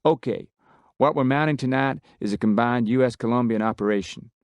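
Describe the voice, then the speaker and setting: A man voicing a brisk male American commander with a Texan drawl, giving a loud, commanding briefing. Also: mid-range voice, gravelly, no room sound, pitched above the performer's own voice, close to an everyday voice. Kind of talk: audiobook character voice.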